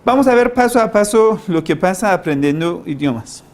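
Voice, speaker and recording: Male teacher, foreign-accented non-native speaker, slightly high voice. Informal, didactic lecture delivery, halting, with emphatic key words. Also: animated, a little mellow. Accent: foreign, non-native Spanish. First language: not Spanish. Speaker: language-learning teacher, lecturer.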